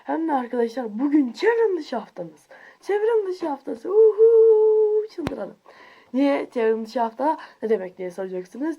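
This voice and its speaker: A woman commenting playfully on a video game, swinging from whispered asides to excited squeals and grumbling at it. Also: on the high side, slightly rough, dull audio. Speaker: gaming YouTuber. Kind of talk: casual let's-play commentary.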